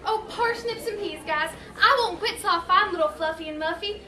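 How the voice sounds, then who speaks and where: An extremely high, sharp-voiced, folksy young shepherdess acted by a woman in a fairy-tale stage comedy. She exclaims excitably, some way from the mic in an echoey room. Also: moderate pace. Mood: flustered, determined. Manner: exclaiming, quaint homespun expressions. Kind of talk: youth stage comedy acting. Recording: echoey hall from far off.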